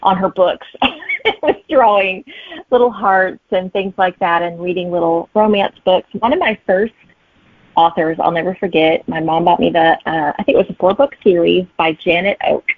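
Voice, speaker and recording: A woman's voice: lowish voice, moderate pace, dry recording. A middle-aged woman guest chatting in an interview, telling stories and voicing her own thoughts as she goes. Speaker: guest, romantic suspense author. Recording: thin band-limited phone-like line.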